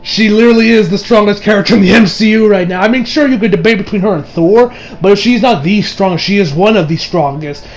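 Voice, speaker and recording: High-voiced young man rambling through a review. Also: fast talker, few pauses. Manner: rambling, repeats and rephrases himself. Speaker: movie reviewer. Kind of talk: casual spoken review over gameplay.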